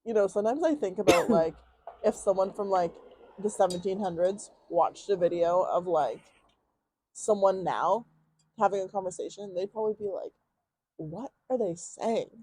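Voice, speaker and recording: A young woman co-hosting a podcast, chatting casually with a friend, slang-heavy and quick to laugh. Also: medium pitch, slight background noise, rough recording. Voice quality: loud projecting voice. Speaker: podcast co-host. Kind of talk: casual chat.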